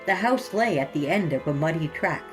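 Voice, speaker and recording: A woman's voice: low-pitched, animated, moderate pace, music in the background. A woman hosting and narrating scripted horror fiction in a theatrical, sinister storytelling manner.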